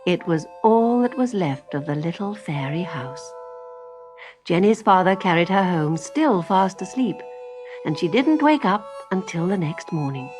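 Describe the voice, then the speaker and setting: A woman narrating a children's story in an expressive, carefully phrased way. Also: fairly low pitch, measured pace, over music.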